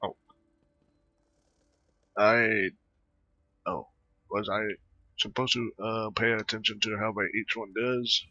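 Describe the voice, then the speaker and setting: A man giving casual, halting gameplay commentary, muttering to himself as he plays. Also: on the low side, fairly even intonation, sharp tone, no echo. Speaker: gaming video host. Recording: dull lo-fi microphone.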